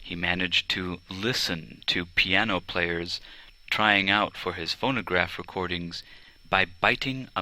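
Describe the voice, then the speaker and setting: A man reading a children's biography aloud as scripted narration, in a measured, clearly enunciated way. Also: deep voice, bright, forceful tone, low-quality recording. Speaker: audiobook reader.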